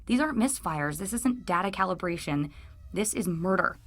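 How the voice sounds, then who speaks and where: An earnest female research assistant in an audio drama. Her voice is slightly low, and she presses her point insistently, questioning and troubled. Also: lively intonation, normal speed, fairly bright tone, dry recording. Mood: alarmed, insistent. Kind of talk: binaural audio drama acting.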